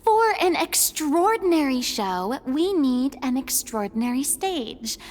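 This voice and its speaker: A woman's voice: sits high, big pitch swings, a little slow, darkish tone, in a large hall. A woman voicing a confident, theatrical, haughty young female performer in a video game, with grand dramatic delivery. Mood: playful, haughty.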